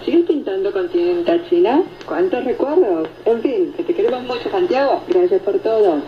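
A woman's voice: mid-range voice, animated, normal speed. Woman radio host on noisy shortwave. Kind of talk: reading and answering listener reports, conversational radio presenting. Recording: noisy shortwave radio reception, muffled, echoey. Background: loud static noise.

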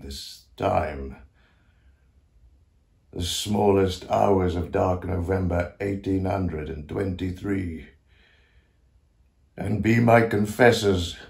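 An actor playing an aged, grandiose Italian composer near death, confiding in a theatrical stage monologue with a creaky voice and self-mocking manner. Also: male voice, deep voice, exaggerated voice. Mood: solemn pleading. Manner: theatrical, declamatory, swinging to hushed asides.

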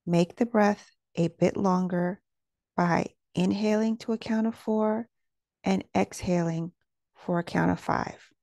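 A woman leading a guided meditation, speaking in a slow, soothing and measured way as she gives gentle instructions. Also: on the low side, steady tone, gravelly, no room sound.

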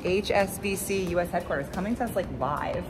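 A woman in her thirties hosting a casual walking interview, bubbly and upbeat, filming on a phone held selfie-style in a large indoor lobby. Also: fairly low pitch. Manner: bubbly, upbeat, fast-talking. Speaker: host. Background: background music.

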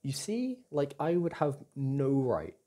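Doting boyfriend, male actor, ASMR roleplay: soft, breathy, dark-toned, unhurried, tender and reassuring. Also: medium pitch, big pitch swings. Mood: playful affection. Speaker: audio roleplay performer.

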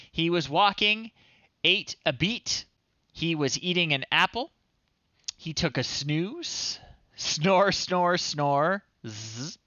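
Male stream host, thirties, casual and amused, crisp tone, leisurely delivery. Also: on the high side, pushed and bright, no echo, lo-fi sound. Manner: unhurried, amused, halting with pauses. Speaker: livestream host. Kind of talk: casual livestream commentary, reading children's stories aloud. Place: small home room, indoors.

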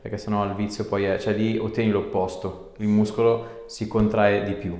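A man's voice: on the low side, flat delivery, moderate pace, a little mellow. A man in his thirties, an osteopath, giving short encouraging instructions and chatting casually with a patient. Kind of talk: hands-on treatment instructions and casual chat with patient. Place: small clinic treatment room, indoors. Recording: echoey treatment room, faint background noise.

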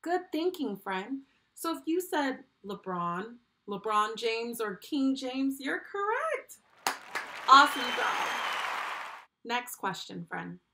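A woman's voice: a little high, slow pace, a faint crowd, slight background noise. Encouraging young woman teaching children. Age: young adult. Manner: encouraging, animated praise. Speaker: children's read-along host and school speech therapist. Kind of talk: children's lesson with comprehension questions, addressing the child directly. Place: small furnished room at home, indoors.

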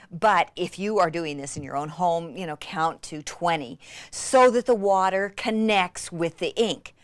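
Excitable woman demonstrating crafts, swooping pitch. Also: no room sound. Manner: gushing, easily excited, breathy exclamations. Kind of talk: hands-on craft tutorial with excited asides.

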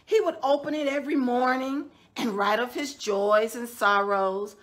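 A middle-aged woman, a children's storytime host, reading a picture book aloud in a measured, deliberate way with drawn-out words. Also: fairly high pitch, steady tone, fairly bright tone. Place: indoors, small decorated room.

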